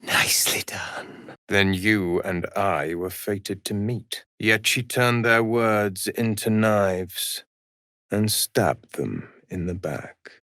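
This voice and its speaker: A man's voice: fairly low pitch, slow pace, pushed and bright, no room sound, studio quality. A man playing a solemn, menacing necromancer judge hungry for vengeance in a fantasy video game, speaking formally and theatrically in archaic phrases. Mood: dark relish.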